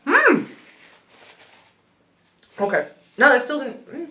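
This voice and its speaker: A woman's voice: sits high, huge pitch swings, takes it slowly, bright, forceful tone, echoey room. A young woman whose first language is Ukrainian, a radio news presenter chatting and laughing her way through a hot wing challenge. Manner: giggly, rambling, reacting to spicy food. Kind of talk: casual chat during a hot wing challenge.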